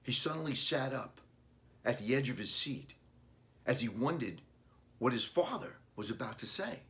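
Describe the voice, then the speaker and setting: A man with a deliberate manner, an author reading a storybook aloud for children. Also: medium pitch, lively intonation, a bit bright. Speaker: author reading own book.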